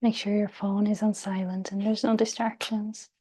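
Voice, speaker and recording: A woman guiding a meditation in a soft, breathy, near-whispered voice, gentle and soothing, with long pauses. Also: medium pitch, flat delivery. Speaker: meditation guide. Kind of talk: guided meditation.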